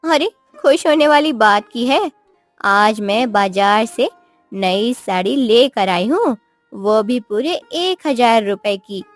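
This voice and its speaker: Woman acting smug village neighbour, high voice, gloating, boastful, patronising, cartoon story, light music. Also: lively intonation, takes it slowly, dry recording.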